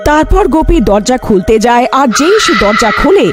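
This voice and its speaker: A woman's voice: high-pitched, expressive, fairly quick, music in the background. A woman narrating a scripted horror folk tale as a storyteller.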